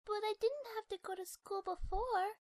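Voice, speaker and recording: A woman's voice: extremely high pitch, moderate pace, dry recording, studio quality. A woman voicing a timid, clingy little filly schoolgirl in an acted audiobook, with childlike, anxious questioning. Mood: anxious, pleading.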